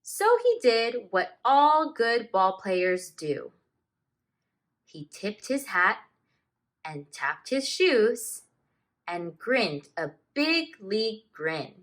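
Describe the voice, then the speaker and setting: Bright-voiced, animated woman reading a children's story. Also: mid-range voice. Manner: deliberate, dramatic emphasis for young listeners. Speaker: teacher reading a picture book aloud.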